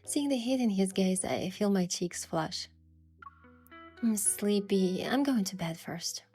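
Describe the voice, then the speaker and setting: A woman playing a sincere, easily flustered young heroine, narrating and speaking in a romance story with soft expression. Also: medium pitch, slow pace, lightly acted. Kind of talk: first-person narration and dialogue in a romance story. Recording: music behind the voice.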